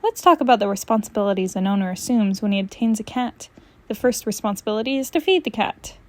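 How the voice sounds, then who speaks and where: A woman's voice: mid-range voice, expressive, quick pace, darkish tone, dry recording, lightly acted. A woman voicing a dull, earnest, nerdy man who owns a cat, in a comic storybook read-aloud. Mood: explaining.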